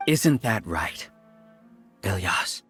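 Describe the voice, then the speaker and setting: An actor playing a grandiose, embittered researcher who leads a hive-mind cult, lecturing theatrically in a video game. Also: male voice, low-pitched, big pitch swings, extremely slow, a bit bright, full character voice. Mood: smug lecturing. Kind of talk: scripted video game villain monologue. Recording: music behind the voice.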